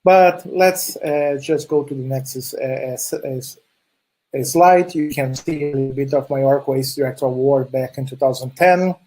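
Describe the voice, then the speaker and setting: A middle-aged man from Chile, Portuguese-speaking from childhood, who talks with a Brazilian Portuguese accent. He speaks in a husky voice at a middle pitch, friendly and a bit halting, with plenty of filler sounds while he presents. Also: warm tone, rough recording. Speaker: conference speaker. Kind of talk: presentation talk.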